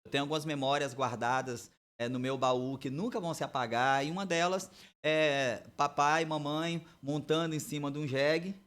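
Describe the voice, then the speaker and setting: Forties male pastor, guest on a church podcast, tells his testimony in a relaxed, chatty way. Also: a little high, normal speed, clean tone. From Bahia, Brazil. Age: 40s.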